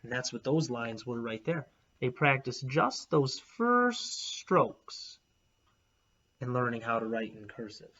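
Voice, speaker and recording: A man reading a children's storybook aloud expressively, pausing to explain things to his listeners. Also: mid-range voice, breathy edge. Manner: animated, explanatory. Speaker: read-aloud narrator, teacher. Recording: dull, lo-fi recording.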